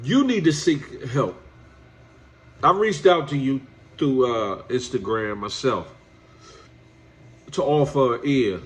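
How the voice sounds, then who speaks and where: Nasal, congested man lecturing slowly. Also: mid-range voice, animated. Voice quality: nasal, stuffed up. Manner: drawn-out, preachy, addresses the subject as "my brother". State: congested from allergies. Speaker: YouTube commentator. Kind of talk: direct-address commentary vlog.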